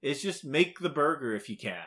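A man's voice: fairly high pitch, expressive, normal speed, a bit bright. A man co-hosting a podcast, chatting casually about a video game in an informal, opinionated way. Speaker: podcast co-host.

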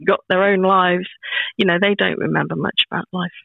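A woman in her fifties, a proud grandmother, chatting as a podcast guest in a warm, rambling way with many restarts. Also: fairly low pitch, steady tone, fairly quick, gravelly, no room sound. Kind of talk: casual chat. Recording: tinny phone-like line, dry.

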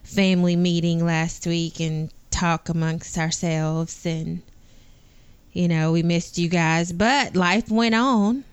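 A woman in her forties co-hosting a family podcast, chatting in an unhurried and playful way. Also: on the low side, steady tone, clear, resonant tone, dull audio. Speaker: podcast co-host. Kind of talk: casual family podcast chat.

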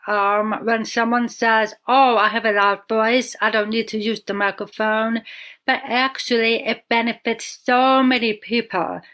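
A woman's voice: on the high side, fairly even intonation, unhurried, fairly bright tone. A woman with a deaf accent, a podcast guest speaking earnestly in casual conversation, with frequent ums.

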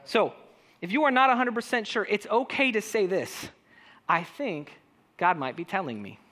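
Male pastor, naturally high voice, ringing tone, lively sermon delivery that keeps asking the room questions. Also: moderate pace. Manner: animated preaching with rhetorical questions to the congregation.

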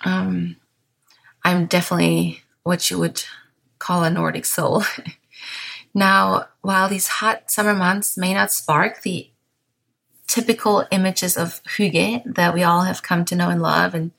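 A woman in her thirties with a German accent, hosting a gentle podcast monologue in a soft, unhurried, mindful way. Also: fairly low pitch, little pitch movement, gravelly. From Bavaria, southern Germany. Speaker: podcast host.

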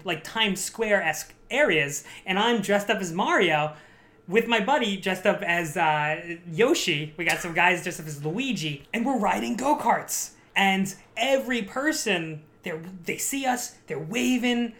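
High bright-voiced young man, laughing a lot. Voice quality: high, shrill voice. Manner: laughs often, self-mocking, animated storytelling. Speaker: podcast guest. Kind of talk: casual chat.

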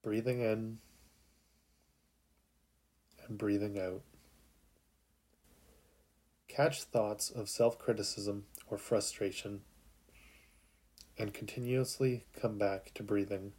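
A man narrating a guided sleep meditation in a calm, soothing, unhurried way with long pauses. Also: on the low side, slightly rough.

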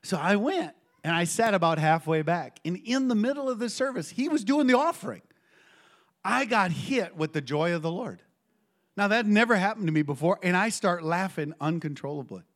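A middle-aged man preaching to a congregation in a church, telling a personal story with lively call-and-response. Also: sits high, moderate pace. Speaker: pastor.

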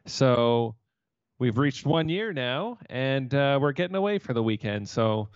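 A man's voice: medium pitch, expressive, unhurried, no echo. A man co-hosting a podcast in casual conversation over a remote computer call. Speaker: podcast co-host. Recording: slightly dull computer-call audio.

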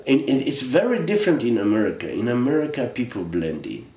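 A middle-aged man from Poland with a Polish accent, chatting as an interview guest in a halting, reflective way with frequent restarts. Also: medium pitch, very animated, warm tone, roomy sound. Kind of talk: casual interview chat.

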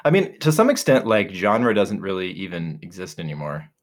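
A man co-hosting a podcast, chatting casually in a relaxed, rambling way with many fillers and restarts. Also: low-pitched, normal speed. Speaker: podcast co-host. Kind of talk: casual chat.

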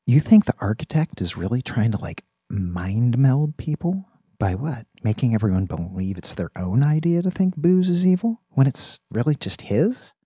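A man's voice: mid-range voice, expressive, normal speed, dark tone, husky, no room sound, clean studio sound, pitched above the performer's own voice. A man voicing an eager, naive young male college student in an audiobook, chatting in casual slang. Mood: eager, chatty. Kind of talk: audiobook character dialogue.

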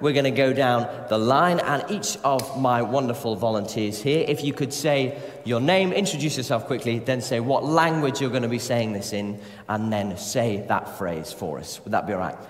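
A man giving an interactive church talk, addressing a congregation in a playful, encouraging manner. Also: medium pitch. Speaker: church speaker leading a talk. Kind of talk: interactive sermon with scripture reading. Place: large church, speaking to a congregation. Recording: echoey church hall, reverberant.

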